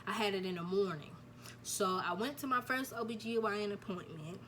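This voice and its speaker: A woman's voice: medium pitch, brisk, a bit bright, slight background noise. A nineteen-year-old woman, chatting casually to camera and repeating herself, telling viewers "y'all" about her news. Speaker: vlogger. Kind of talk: casual storytime chat to camera.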